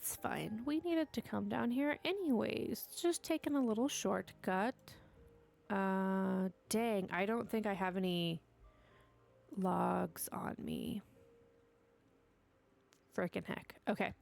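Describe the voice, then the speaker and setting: Sniffly woman streamer, slow and gravelly. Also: mid-range voice, darkish tone. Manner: chatty, talks to herself and her dog while playing. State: sniffly from allergies. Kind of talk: casual gameplay commentary.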